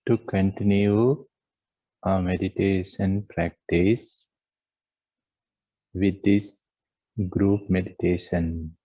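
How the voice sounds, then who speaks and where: A man leads a guided meditation in a low, mellow voice. He speaks extremely slowly, leaving long pauses between short, measured phrases. The recording is dry and somewhat muffled. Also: fairly even intonation, no echo. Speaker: meditation teacher.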